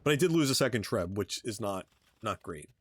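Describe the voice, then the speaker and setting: Low-voiced man commentating a strategy game. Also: expressive, breathy edge, dry recording. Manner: halting, thinking aloud. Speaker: gamer commentating own match. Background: background music.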